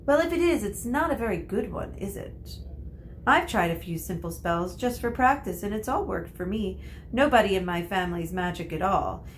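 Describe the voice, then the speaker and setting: A woman's voice: medium pitch, expressive, normal speed, slight background noise, close to an everyday voice. A woman voicing a bossy, eager know-it-all young witch girl in a storybook, chattering in a breathless, self-assured way.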